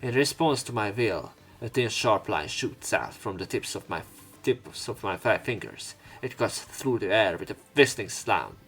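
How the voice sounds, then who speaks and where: A man's voice: on the low side, animated, normal speed, hardly any pauses, a bit bright, husky. A man hosting a let's play, reading visual novel narration aloud in a dramatic way, with commentary. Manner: acts out lines and exclamations.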